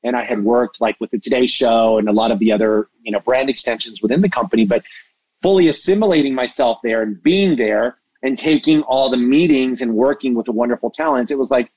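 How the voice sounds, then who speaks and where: Expressive, passionate man in a dry recording. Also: medium pitch, brisk, dry recording, rough recording. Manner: expressive, passionate, self-described hyper. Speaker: interview guest, entertainment media executive and mentor. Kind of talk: animated interview conversation.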